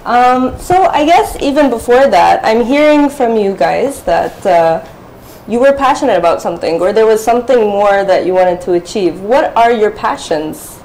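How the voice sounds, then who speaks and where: Female host for a live panel, slightly high voice, echoey recording with faint noise. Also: expressive, moderate pace, a little mellow. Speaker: moderator, MBA student and podcaster. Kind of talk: hosting a live-streamed panel discussion. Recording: roomy echo, faint background noise.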